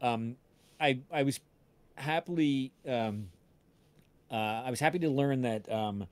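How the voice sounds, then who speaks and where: A man chatting casually on a livestream, telling anecdotes in a halting, searching way with false starts. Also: mid-range voice, darkish tone, no echo. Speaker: podcast cast member on a livestream. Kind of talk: casual chat, rambling anecdote.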